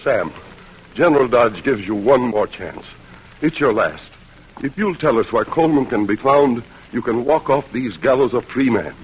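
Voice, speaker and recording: An actor playing a persuasive army captain pleading earnestly with a prisoner, in old-time radio drama style. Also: male voice, medium pitch, lively intonation, normal speed, breathy edge. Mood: urgent. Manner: urgent, imploring, earnest. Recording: noisy vintage radio drama recording.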